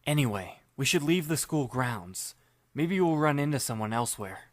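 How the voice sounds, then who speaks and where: A young man narrating in the first person, his mid-pitched voice dry and wry, with understated asides. The sound is close and dead, with no room behind it. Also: animated, normal speed, no room sound. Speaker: narrator and lead reader.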